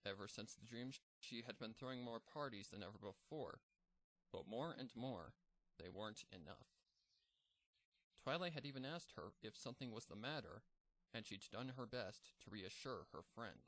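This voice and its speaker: A man narrating a dark fan fiction story in a measured, somber reading. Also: on the low side, expressive. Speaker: fanfic narrator.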